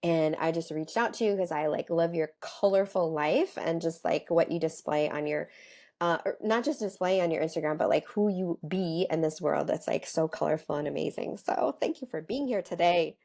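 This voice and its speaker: Woman host, slightly low voice, calm and soothing, chatty podcast style. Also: moderate pace, gravelly. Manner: chatty, lots of "like" fillers. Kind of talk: casual podcast interview.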